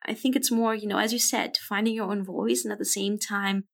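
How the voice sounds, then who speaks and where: A woman's voice: medium pitch, fairly even intonation, brisk, gravelly. A woman in her twenties, a podcast guest talking frankly and reflectively in a casual interview. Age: 20s.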